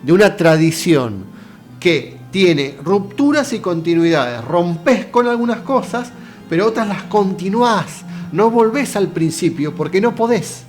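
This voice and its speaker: An Argentine man with a somewhat raised voice explains philosophy in a chatty, direct way. He keeps asking the viewer rhetorical questions. Soft music plays faintly underneath. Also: high-pitched, very animated, unhurried. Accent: Argentine Spanish. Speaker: philosophy lecturer. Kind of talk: casual lecture.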